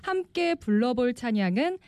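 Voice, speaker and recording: A woman producer hosting a live holiday radio broadcast, with a bright, polished announcer delivery. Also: on the high side, very animated, moderate pace, smooth, no room sound.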